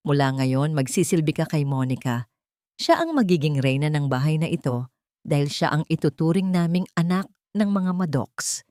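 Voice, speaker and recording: A woman's voice: low-pitched, lively intonation, moderate pace, dark tone, no room sound, clean studio sound. A woman voicing a cruel, scheming older mother in an audio drama story, sneering and commanding as she talks. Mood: menacing, commanding.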